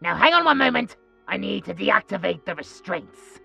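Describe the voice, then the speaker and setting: A man's voice: high voice, sing-song, moderate pace, creaky, sharp tone, soft background music, heavily acted. A man playing a villainous henchman at the controls in a horror audio roleplay. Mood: smug.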